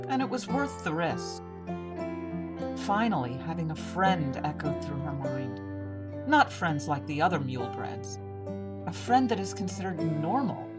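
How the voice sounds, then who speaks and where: A woman reading scripted fantasy fiction as the narrator of a story. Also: on the low side. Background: plucked string music, guitar.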